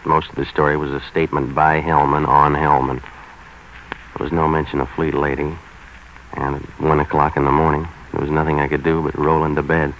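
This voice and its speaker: An actor playing a cynical private investigator in 1940s radio noir, narrating and sparring in a terse, deadpan, wisecracking way. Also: male voice, normal speed. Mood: world-weary. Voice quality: low-pitched voice. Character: cynical hard-boiled male private investigator for hire. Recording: dull, noisy 1940s radio recording.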